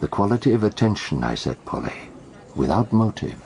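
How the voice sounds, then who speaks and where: A man acting in a radio drama as a cryptic, needling tea-house detective and mentor, speaking in measured aphorisms. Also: on the low side, very animated, gravelly, darkish tone, slight background noise. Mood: probing, philosophical. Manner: measured, aphoristic, teasing, Socratic.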